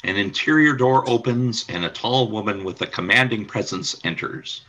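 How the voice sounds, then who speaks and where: Bright, husky male radio play narrator. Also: fairly low pitch, normal speed, low-quality recording. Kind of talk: scripted narration of stage action.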